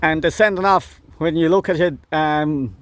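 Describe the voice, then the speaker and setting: A man with an Irish accent interviews players and coaches after a match. His voice sits high, and he pauses often with "um". He reads out results and names in a plain, unhurried way. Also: no echo. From Ireland. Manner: hesitant, many "um"s. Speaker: club interviewer. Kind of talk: post-match interview questions.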